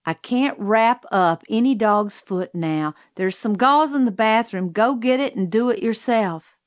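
A woman's voice: fairly high pitch, measured pace, dry recording, clean studio sound, natural voice. A woman voicing a brusque, commanding Southern housekeeper in an audiobook, with emphatic delivery and a Southern US accent. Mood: exasperated, skeptical. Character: forceful, no-nonsense Southern housekeeper woman, exasperated then urgent. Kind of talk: audiobook character voice.

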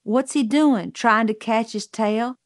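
A woman's voice: on the high side, slow, dry recording, studio quality. A woman voicing a brusque, commanding Southern housekeeper in an audiobook, with emphatic delivery and a Southern US accent. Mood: exasperated, skeptical. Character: forceful, no-nonsense Southern housekeeper woman, exasperated then urgent. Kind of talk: audiobook character voice.

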